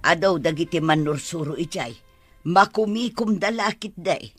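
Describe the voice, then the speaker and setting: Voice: mid-range voice, moderate pace, husky, no room sound, faint music behind, close to an everyday voice. An actor playing a fretful, scolding older mother with an exasperated, chiding manner in a radio drama.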